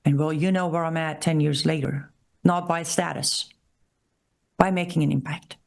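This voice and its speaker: Low, gravelly Iranian-accented woman commentator. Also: steady tone. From Iran. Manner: emphatic, deliberate, repeats key phrases slowly. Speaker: show host. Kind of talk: political commentary monologue.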